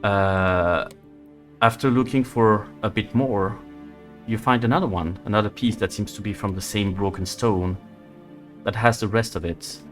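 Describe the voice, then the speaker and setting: A man with a fairly low voice narrates a tabletop horror game as its game master. He rambles loosely between scenes. Faint music plays behind him. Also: normal speed. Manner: loose, rambling, swearing freely.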